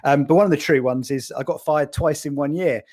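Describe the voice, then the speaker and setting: A man's voice: a little high, brisk, a little mellow. Friendly man in his forties. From Wales. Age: 40s. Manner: friendly, laughs easily, rambling. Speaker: podcast guest, marketing executive. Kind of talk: casual interview.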